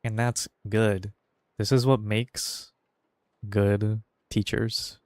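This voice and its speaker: A man's voice: fairly low pitch, flat delivery, very slow, darkish tone, no room sound, clean studio sound. A man giving offhand, slangy commentary while playing a game, often muttering to himself. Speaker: game streamer. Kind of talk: casual gameplay commentary. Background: game music.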